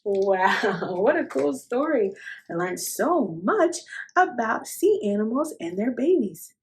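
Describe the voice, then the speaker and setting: Lady doing storytime for little kids, slow and drawn out, super expressive up and down pitch. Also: a little hiss or hum. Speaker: children's storytime host. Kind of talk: expressive picture-book read-aloud.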